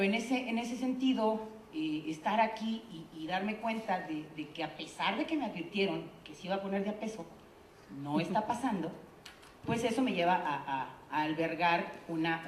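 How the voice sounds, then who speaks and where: A woman's voice: lowish voice, moderate pace, a little hiss or hum. A woman from Tamaulipas with a Mexican Spanish accent giving a chatty, joking talk at a theater congress. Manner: jokey, chatty, self-deprecating. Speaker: conference speaker. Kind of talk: informal speech at a congress. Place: conference hall. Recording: echoey hall.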